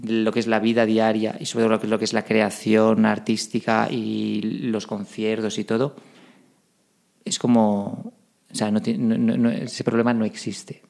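A man's voice: on the low side, monotone, normal speed, gravelly, a little mellow. A man with a Spanish accent from Barcelona, a band member explaining himself emphatically in a casual interview. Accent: Spanish, from Spain.

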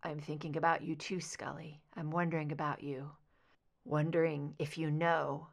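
A male agent speaking low and soft, soothing his partner through a painful hypnosis session. His voice is hushed and steady, as a woman narrator voices him. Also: normal speed. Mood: tender. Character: gentle anguished male agent guiding hypnosis.

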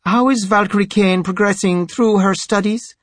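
Man playing an imperious high-voiced high priest. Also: steady tone, moderate pace, no echo, close to an everyday voice. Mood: stern. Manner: imperious, bitter, sighing. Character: imperious, weary male high priest, tall and narrow. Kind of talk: audiobook character voice.

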